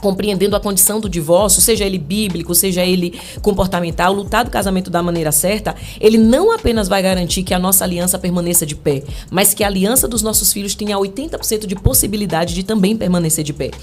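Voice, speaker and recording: A woman's voice: medium pitch, fast talker, few pauses, darkish tone, a little hiss or hum. A woman in her thirties from Brazil, a guest on a podcast, talking earnestly about marriage and faith, with restarts and fillers. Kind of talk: podcast interview.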